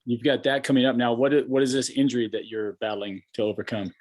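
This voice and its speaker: A man's voice: mid-range voice, steady tone, fast talker, a little mellow. A middle-aged American man hosting a casual podcast interview, talking in a rambling, colloquial and enthusiastic way. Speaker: podcast host.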